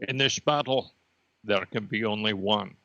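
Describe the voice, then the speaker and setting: A patient, solemn being of pure energy acted by a man speaks with measured gravity in a comic podcast. The voice is creaky and forceful, recorded very dry and a little dull. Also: lowish voice, dry recording. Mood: solemn, ominous. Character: patient, cryptic collective red laser dot, energy being. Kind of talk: improvised comic character interview.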